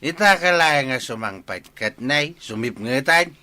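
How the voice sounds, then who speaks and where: A man's voice: medium pitch, animated, measured pace, bright, forceful tone. A man playing a hot-tempered, mocking middle-aged father who bullies and taunts people in a radio drama. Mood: mocking, dismissive. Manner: taunting, blustering. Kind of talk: Ilocano radio drama acting.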